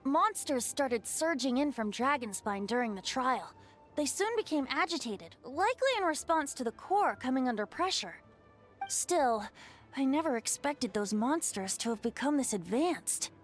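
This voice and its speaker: A woman's voice: high-pitched, lively intonation, moderate pace. A woman voicing a playful, theatrical young male bard in a video game. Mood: explaining. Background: game music.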